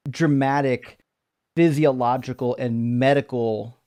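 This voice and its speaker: A man hosts a live debate stream and moderates between the two sides. He talks at an ordinary pitch and breaks the tension with wry, joking remarks. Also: fairly even intonation, measured pace, darkish tone, clear, resonant tone.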